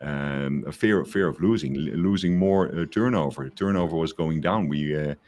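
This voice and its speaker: A man with a very low voice co-hosts a podcast in a relaxed conversational style. He hesitates often and restarts his sentences as he looks for the right words. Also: moderate pace. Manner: hesitant, many fillers and restarts. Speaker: podcast co-host. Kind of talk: casual conversation.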